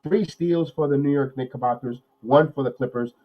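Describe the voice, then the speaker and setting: A man hosting a live watch-along podcast, nasal from a cold, calling plays excitedly and then talking analysis with many repeats. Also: mid-range voice, moderate pace, muffled recording. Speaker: podcast host.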